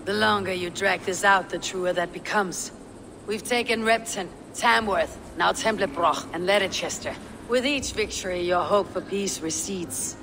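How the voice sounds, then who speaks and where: A woman playing a stern, composed Viking warrior leader in a video game, speaking in a measured, firm way. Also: mid-range voice, noisy background, lightly acted. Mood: coolly confident. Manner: measured, firm, coolly menacing. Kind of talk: video game dramatic acting.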